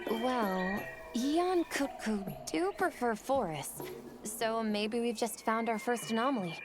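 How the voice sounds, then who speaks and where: A practical, level-headed hunter in a video game. Her slightly high voice is brief and matter-of-fact, set against loud music. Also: expressive, slow. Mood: curious.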